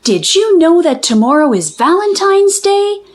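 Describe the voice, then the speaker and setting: A woman's voice: very high voice, sing-song, unhurried, voice pushed up, full character voice. A woman voicing an earnest, literal-minded young schoolgirl in a storybook, exclaiming innocently. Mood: matter-of-fact.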